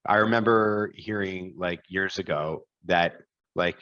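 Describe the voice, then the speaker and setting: A man's voice: low voice, measured pace, a bit bright, lo-fi sound. An American man hosting a casual interview, rambling and restarting his questions as he goes. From United States. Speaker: podcast host.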